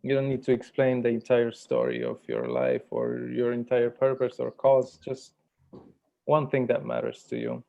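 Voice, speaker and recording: Male facilitator, measured and deliberate, creaky voice, slightly dull online-call sound. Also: medium pitch, animated, warm tone. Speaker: workshop facilitator. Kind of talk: guided meditation and workshop facilitation. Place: virtual workshop over video call.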